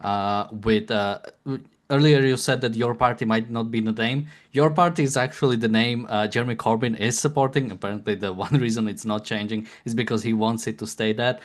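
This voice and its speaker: A man's voice: mid-range voice, steady tone. A man co-hosting a political podcast, explaining the news casually, joking and stumbling over his words with frequent fillers. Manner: joking, halting, many fillers and restarts. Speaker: podcast co-host.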